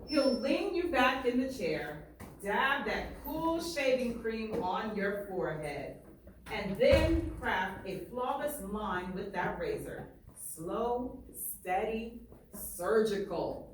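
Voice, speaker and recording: Expressive woman teacher in an echoey room. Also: fairly high pitch, takes it slowly. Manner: emphatic, often checking with "right?" and "all right?". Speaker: teacher reading aloud to a class. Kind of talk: expressive classroom read-aloud with questions to pupils. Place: echoey classroom, mic at a distance. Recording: roomy echo, distant mic, faint noise.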